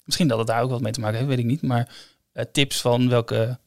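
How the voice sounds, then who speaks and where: A man talks casually as a podcast co-host. His phrasing is halting and hesitant, searching as he goes. His pitch sits in the middle and the sound is very dry. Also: fairly even intonation, vocal fry, dry recording. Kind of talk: casual chat.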